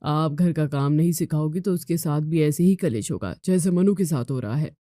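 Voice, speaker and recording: A woman's voice: low-pitched, moderate pace, dark tone, no room sound, studio quality, pitched below the performer's own voice, lightly acted. A woman voicing a reproachful young husband who reasons with his family in a storybook tale. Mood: reasoning. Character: reproachful young husband, son caught between wife and mother.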